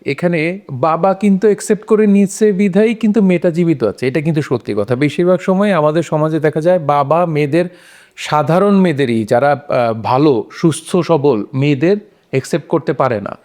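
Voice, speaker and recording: A man's voice: fairly high pitch, brisk, a little mellow. A man on a discussion panel, explaining his opinions on a short story in a casual conversational way.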